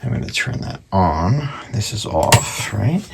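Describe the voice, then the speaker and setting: A man giving casual, hands-on repair commentary in a gravelly, husky voice, thinking aloud informally as he works. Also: fairly low pitch, sing-song, unhurried, very noisy. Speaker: radio repairer presenting his restoration.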